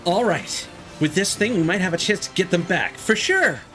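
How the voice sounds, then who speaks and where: A plucky, resolute kid from a war game, played by a man. The voice is lifted in pitch and animated, earnest about the fight ahead. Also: moderate pace, music in the background. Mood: hopeful. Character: earnest, brave young child tank crew member. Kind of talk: video game character dialogue.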